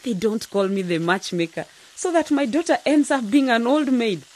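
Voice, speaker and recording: A woman's voice: a little high, animated, normal speed, a little mellow. A woman playing a pushy, scheming middle-aged mother in a radio drama, bossy and cajoling.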